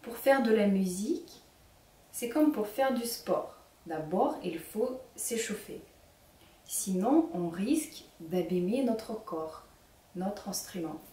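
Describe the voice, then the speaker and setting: A young woman with a Ukrainian accent leading a children's singing workshop, speaking slowly and clearly as she gives instructions. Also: mid-range voice, animated, warm tone. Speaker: singing teacher. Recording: echoey room, mic at a distance.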